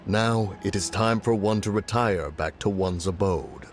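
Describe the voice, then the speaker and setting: A deep, solemn ancient spirit. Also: male voice, slight background noise. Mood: composed. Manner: formal, archaic, measured. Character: ancient solemn male adeptus in human guise. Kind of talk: game voice acting.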